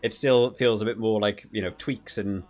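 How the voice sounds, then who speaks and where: A man giving casual, unscripted review commentary as a gaming video creator, thinking aloud and softening his opinions in a conversational way. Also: fairly low pitch, fairly even intonation, normal speed, faint music behind.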